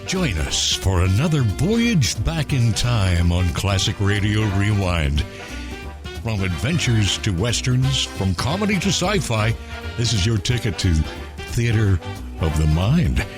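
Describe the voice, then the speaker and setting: A man's voice: lowish voice, very animated, measured pace. A man announcing a radio show in a dramatic, scripted promo style. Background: clear music.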